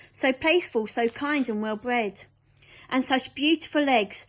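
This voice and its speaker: Woman as an excitable, high-voiced storybook centipede. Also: natural voice, low-quality recording. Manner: declamatory, rhyming, excitable. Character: boisterous grinning male centipede, gleeful reckless adventurer.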